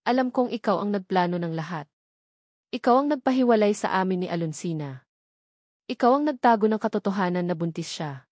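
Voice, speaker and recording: A woman's voice: medium pitch, animated, brisk, darkish tone, no echo, studio quality, natural voice. A woman with a Filipino accent voicing an angry, wounded grown son who speaks bluntly in a narrated drama. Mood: accusing. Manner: blunt, confrontational.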